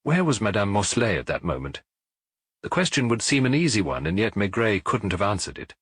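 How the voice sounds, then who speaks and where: A man giving an expressive scripted narration of a detective story as an audiobook narrator. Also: fairly low pitch, fairly quick, slightly rough, no echo, studio quality.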